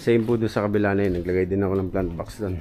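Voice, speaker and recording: A man in his thirties with a fairly low voice leads a casual walkthrough of a house he renovated. He talks to a handheld camera, points things out with lots of fillers, and steady noise sits behind him. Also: quick pace. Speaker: contractor showing a renovated house. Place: outdoors on a street and inside an empty house. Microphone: handheld action camera.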